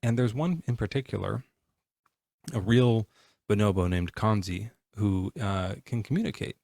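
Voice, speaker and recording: A man in his forties hosting a live stream, chatting casually in a halting, rambling way with lots of fillers. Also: fairly low pitch, gravelly, darkish tone, no echo, clean studio sound. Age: 40s. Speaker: livestream host.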